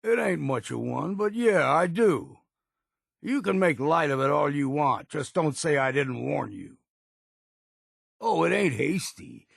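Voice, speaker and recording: A gruff older island hunter for a video game. The voice is heavily gravelled and husky, swinging freely in pitch, with wary, stubborn pride and a folksy rustic turn of phrase. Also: male voice, animated, measured pace, no room sound, studio quality, full character voice. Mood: stubborn, indignant. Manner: folksy rustic speech with dropped grammar and ain't. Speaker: game character voice actor. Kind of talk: video game dialogue acting.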